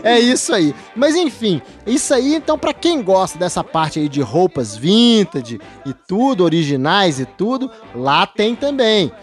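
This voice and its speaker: A Brazilian man co-hosting a podcast, chatting casually and telling jokey, animated stories. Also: high voice, a little slow, hardly any pauses, faint music behind. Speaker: podcast co-host. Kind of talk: casual chat.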